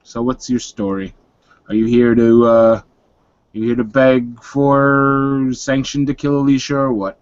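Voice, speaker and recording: A man plays a gruff, teasing werewolf pack leader in a tabletop roleplay. He talks very slowly and dryly in a lowish voice, on dull, close-sounding audio with no room in it. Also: little pitch movement, darkish tone, no echo, natural voice. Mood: wry, resigned.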